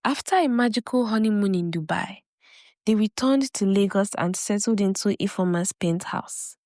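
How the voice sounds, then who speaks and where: A woman narrates a scripted folktale in a mid-pitched voice. The recording is close and dry, with very little room sound around her storytelling. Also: moderate pace, darkish tone, dry recording.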